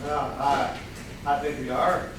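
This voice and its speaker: A man's voice: mid-range voice, steady tone, slow, close to an everyday voice. A man playing a hesitant, placating investigator in a comedy stage reading, hemming between his phrases. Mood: probing. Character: hesitant, conciliatory investigator questioning party guests. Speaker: actor in staged play reading. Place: room at a live staged reading. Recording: very echoey, distant, faintly noisy room.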